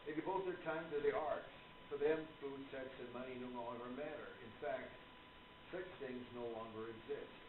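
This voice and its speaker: Through loud noise and a thin, phone-like sound comes a man acting as a courtly gentleman author from a radio play. He speaks formally and earnestly, like a devoted believer in the spirit world expounding his faith. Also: mid-range voice, normal speed, reverberant, natural voice. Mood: preaching, rapt.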